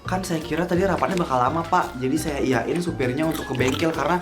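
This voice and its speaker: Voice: mid-range voice, fast talker, natural voice. A performer playing a young female secretary, flustered and resentful, with colloquial Jakarta Indonesian in a scripted office drama. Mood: deferential, flustered. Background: music and cooking sounds.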